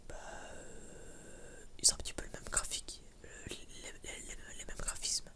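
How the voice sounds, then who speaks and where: A young man giving a casual ASMR chat in a whisper, rambling and hesitant, in informal, slangy words. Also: unhurried. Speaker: ASMR creator.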